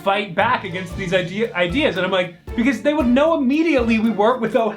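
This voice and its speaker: A man's voice: sits high, fast, faint music behind. A man chatting casually as a commentator, mockingly acting out other people's words. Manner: mocking, quotes others in acted voices. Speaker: video commentator. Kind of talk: casual reaction chat.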